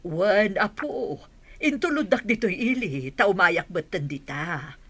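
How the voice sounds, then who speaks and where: A woman's voice: mid-range voice, animated, measured pace. A kindly old grandmother in an Ilocano radio drama. Her mid-range voice rises and falls widely, with an Ilocano accent. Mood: gentle. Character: kindly elderly grandmother from the village.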